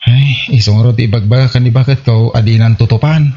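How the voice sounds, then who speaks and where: A man's voice: medium pitch, fairly even intonation, a little fast, warm tone, dull audio, close to an everyday voice. A man playing a principled village husband, admonishing and questioning in a comedy radio drama. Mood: disapproving.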